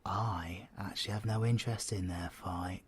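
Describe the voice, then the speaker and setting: A British man playing a cocky, menacing, flirtatious supervillain in an audio roleplay, husky and sardonic, often dropping to breathy whispers. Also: low-pitched, steady tone, normal speed. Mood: menacing, boastful. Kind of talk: scripted audio roleplay, ASMR drama.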